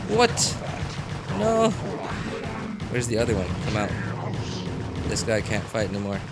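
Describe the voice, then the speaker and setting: A man's voice: fairly low pitch, sing-song, unhurried. A man chatting casually as a game streamer on a livestream, rambling and often searching for words. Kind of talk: casual livestream chat while gaming. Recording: game audio behind voice. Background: game music and sound.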